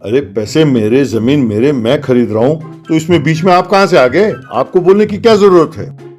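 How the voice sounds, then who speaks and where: Earnest, stubborn young village farmer, played by a man, cartoon comedy, slightly high voice, music underneath. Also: normal speed, darkish tone, lightly acted. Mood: defiant. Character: proud young software engineer turned farmer, stubborn husband. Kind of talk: animated comedy story dialogue.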